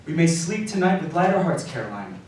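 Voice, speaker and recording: An actor playing an earnest, indebted husband in a live radio drama, grave and measured. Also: male voice, a little high, very animated, a little mellow. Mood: hopeful relief. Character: Caroline's husband, earnest indebted man, grave yet hopeful. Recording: echoey live stage, distant mic, faint noise.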